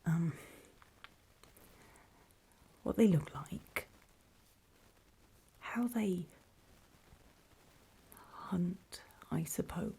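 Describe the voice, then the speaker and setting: A woman playing a nervous, apologetic apocalypse survivor in a horror ASMR roleplay, in a soft, breathy whisper with a halting, self-conscious delivery. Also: fairly low pitch, slight background noise, heavily acted, poor audio. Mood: uneasy, earnest. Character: nervous, apologetic female zombie-apocalypse survivor recording a warning.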